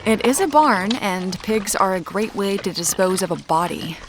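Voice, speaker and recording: An actress playing a determined, blunt amateur detective in an audio drama, matter-of-fact and wry. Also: female voice, medium pitch, lively intonation, moderate pace, very noisy, close to an everyday voice. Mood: wry, focused.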